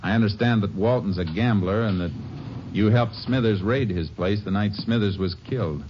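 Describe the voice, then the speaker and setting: An actor playing a calm, dogged Texas Ranger investigator in a terse, polite 1950s radio drama style. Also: male voice, lowish voice, normal speed, natural voice. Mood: probing. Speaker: lead actor, narrator. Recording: dull, hissy old radio recording.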